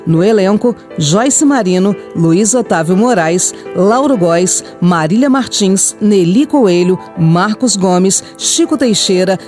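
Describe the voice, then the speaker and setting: A Brazilian woman reads credits as a radio announcer. Her voice is mellow and mid-pitched, with music faintly behind.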